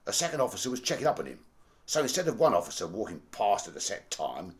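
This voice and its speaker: A man's voice: lowish voice, sing-song, quick pace. A man playing a plain-spoken, deferential Victorian manservant telling things colloquially in a radio drama. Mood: animated recounting. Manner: colloquial storytelling.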